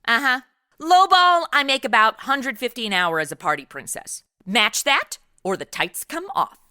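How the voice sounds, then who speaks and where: Woman as a bright, sassy young sidekick. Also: on the high side, expressive, moderate pace, clear, resonant tone, no echo, studio quality. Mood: haggling. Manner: snarky, blunt, complaining. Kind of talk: comedy radio play acting.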